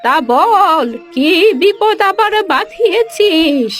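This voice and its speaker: A woman's voice: very high-pitched, lively intonation, a bit bright, soft background music, exaggerated voice. A woman playing a proud, betrayed old village mother in a cartoon story, scornful and reproachful. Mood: bitter, reproachful. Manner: scornful, reproachful, slow emphatic delivery. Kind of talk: Bengali cartoon moral-story acting.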